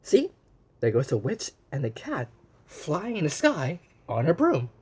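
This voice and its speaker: Very slow, husky male storyteller. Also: a little high. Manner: animated, exclaiming, pausing to point things out. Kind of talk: read-aloud of a children's picture book.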